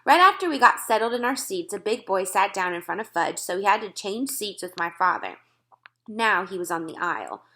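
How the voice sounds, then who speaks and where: A woman narrating a children's book aloud in an expressive style. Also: medium pitch, brisk. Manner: acts out dialogue.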